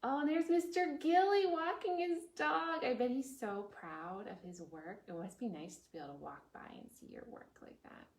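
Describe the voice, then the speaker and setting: A woman's voice: high-pitched, normal speed, hardly any pauses. A woman hosting a preschool storytime, reading a picture book aloud in a sing-song way and putting questions to young listeners. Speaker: children's librarian, storytime host. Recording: roomy, echoey indoor sound.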